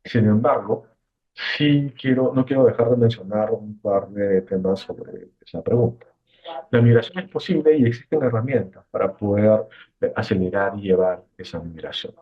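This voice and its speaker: A man's voice: lowish voice, moderate pace, slightly rough. A man presenting a webinar, answering questions off the cuff in a careful way, often repeating and rephrasing himself. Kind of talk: unscripted webinar Q&A explanation. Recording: muffled, phone-like webinar audio.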